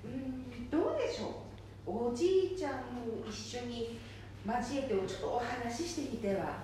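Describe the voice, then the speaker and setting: A woman playing a polite, reassuring care manager in an amateur radio drama, courteous, soothing and gently persuasive. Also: fairly high pitch, sing-song, normal speed. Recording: very echoey room, distant mic, faint noise.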